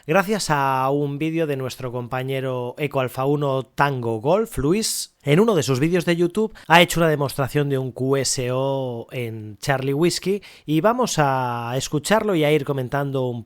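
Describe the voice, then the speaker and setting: A man's voice: medium pitch, expressive, normal speed. A man with an accent from Spain, presenting a podcast in a conversational style as its host. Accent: Spain Spanish.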